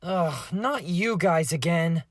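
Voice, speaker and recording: A woman voicing an exasperated, brash teenage boy in a fan drama, loud and dismissive. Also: lowish voice, animated, takes it slowly, no echo, studio quality. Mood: irritated.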